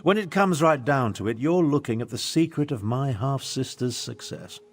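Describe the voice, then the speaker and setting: A scheming elder nobleman from a fantasy game. He speaks in formal, pompous phrases at a middling male pitch, lecturing like someone of high rank. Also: lively intonation, normal speed, no echo. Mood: grave, lecturing. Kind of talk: scripted video game dialogue.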